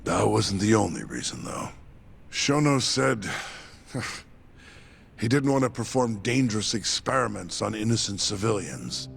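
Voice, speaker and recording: An actor playing a cynical, tough underworld henchman in a video game, nonchalant and gravelly. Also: lowish voice, lively intonation, measured pace, a bit bright, a little hiss or hum, full character voice. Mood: cynical, unbothered. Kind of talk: English video game cutscene acting.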